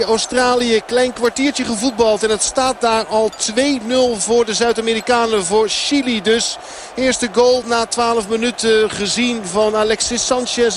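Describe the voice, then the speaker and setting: A man with a high voice gives a live football report for radio. Clear background noise runs behind his commentary. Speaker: sports reporter.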